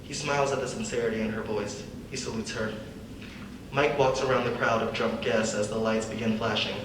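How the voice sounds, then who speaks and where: A man's voice: fairly low pitch, fairly even intonation, a little fast. A young man reading stage directions as scripted narration for a dramatic reading. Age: young adult. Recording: echoey hall from far off, noisy.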